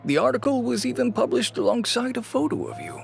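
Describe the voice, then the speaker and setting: An actor playing a weary middle-aged fashion shop owner and cautious father, speaking in a measured, reluctant way in a video game. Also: very high voice, animated, gravelly, over music, exaggerated voice. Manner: measured, reluctant, lightly sighing.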